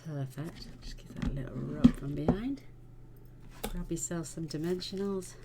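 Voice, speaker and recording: Low, gravelly woman crafting. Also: normal speed, very noisy. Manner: chatty, homely, talks while working. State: croaky voice. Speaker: card-making channel host. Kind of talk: casual crafting tutorial.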